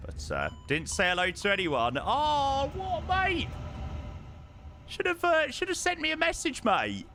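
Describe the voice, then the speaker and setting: A young man chatting casually to his live-stream viewers, telling stories with lively, animated delivery and answering chat. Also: extremely high pitch, unhurried, gravelly, slight background noise. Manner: chatty, animated storytelling, reads chat aloud. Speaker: flight sim streamer.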